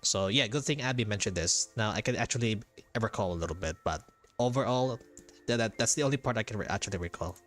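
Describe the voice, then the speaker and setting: A man's voice: fairly low pitch, lively intonation, quick pace. A man doing casual, chatty live gameplay commentary, often repeating himself. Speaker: game streamer. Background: soft game music.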